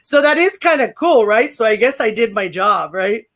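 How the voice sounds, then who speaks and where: Deep-voiced older woman chatting. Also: expressive, normal speed. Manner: rambling, full of fillers and restarts. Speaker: guest, former pro wrestler. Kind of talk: casual interview chat.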